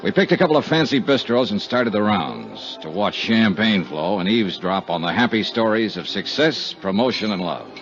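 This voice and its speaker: An actor playing a world-weary insurance investigator in a 1950s radio drama, blunt, wry and clipped. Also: male voice, lowish voice, very animated, moderate pace, hardly any pauses. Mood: weary narration. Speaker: lead actor. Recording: dull 1950s radio recording, music behind.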